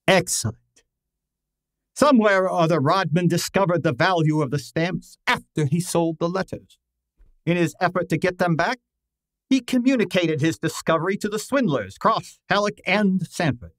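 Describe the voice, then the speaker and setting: A man's voice: sits high, animated, moderate pace, a bit bright, no echo, low-quality recording. A man playing a pompous, exacting private detective in an old-time radio drama, with formal, precise and theatrical diction. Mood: smug, explanatory.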